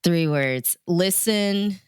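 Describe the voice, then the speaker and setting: A woman's voice: lowish voice, extremely slow, smooth, dry recording, studio quality. A woman radio DJ answering questions as a guest in a casual, chatty, informal interview.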